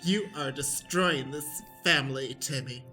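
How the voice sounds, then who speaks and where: A man's voice: mid-range voice, a little slow, bright, forceful tone, faint music behind. A man playing a stern, overwrought father scolding his child in a parody radio commercial. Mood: anguished scolding. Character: melodramatic stern father in a parody drug warning.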